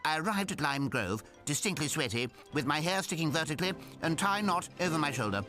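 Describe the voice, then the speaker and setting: Man acting as eccentric English astronomer, animated intonation, wry, old-fashioned and well-spoken, radio drama, music underneath. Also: a little high, brisk, lightly acted. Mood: breathless excitement. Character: eccentric, dry-witted English amateur astronomer recounting his life. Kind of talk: radio drama narration and dialogue.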